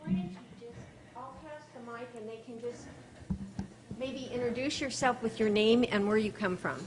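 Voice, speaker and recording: A woman's voice: a little high, normal speed, noisy background. A woman co-hosting an event, making informal announcements from away from the microphone in an echoey room. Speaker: event organizer and co-host. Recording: distant mic, echoey room, loud crowd.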